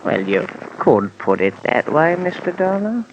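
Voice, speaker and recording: Chirpy colonial clerk, swooping pitch. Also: male voice, very animated, a little slow. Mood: sheepish, evasive. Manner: affable, fussy, evasive. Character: chirpy, cowardly colonial insurance branch manager. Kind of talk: 1950s radio drama acting. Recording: dull old radio, slight noise.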